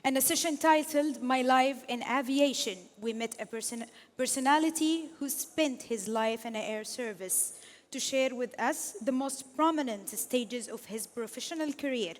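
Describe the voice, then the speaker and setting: A woman's voice: high-pitched, moderate pace. A woman moderating a stage talk, reading formal prepared introductions and questions. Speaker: host and moderator. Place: large event hall. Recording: long hall reverb.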